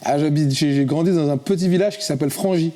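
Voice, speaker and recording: A French man in his forties, a singer-songwriter chatting casually as a guest, often halting and restarting his sentences. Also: a little high, warm tone. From France. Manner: hesitant, many restarts and fillers. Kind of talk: casual interview chat.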